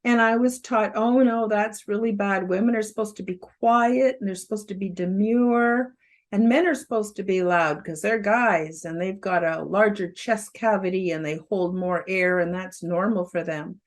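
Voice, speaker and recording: A woman around seventy with a loud, carrying voice, giving a casual self-help talk with fillers and emphatic rises. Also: mid-range voice, fairly even intonation, moderate pace. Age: about 70. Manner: loud voice that carries, outspoken. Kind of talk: casual spoken presentation to camera.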